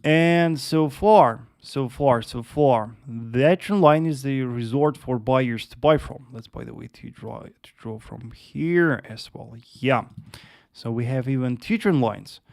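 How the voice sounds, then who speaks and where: Man, russian accent, live market analysis host, mid pitch, dry close sound, patient and repetitive, lots of fillers. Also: slow, creaky, darkish tone, dry recording. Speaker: trading livestream host.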